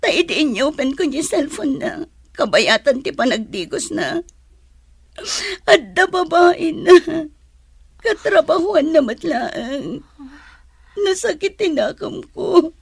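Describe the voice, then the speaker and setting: Babae nga agak-akto a naladingit a nataengan nga ina, nangato ti timekna. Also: female voice, high-pitched, very animated, slow, gravelly, no echo, exaggerated voice. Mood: tearful, anguished. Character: long-suffering young mother, betrayed by her partner. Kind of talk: Philippine radio drama acting.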